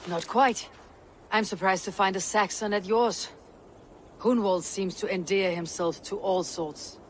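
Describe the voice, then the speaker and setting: A battle-hardened Viking warrior woman from a video game, acted by a woman. She speaks with solemn, measured authority and a husky edge, as if reciting verse. Faint noise sits behind her. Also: medium pitch, steady tone, close to an everyday voice. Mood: composed. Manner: measured, declamatory, grave. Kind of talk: dramatic video game acting.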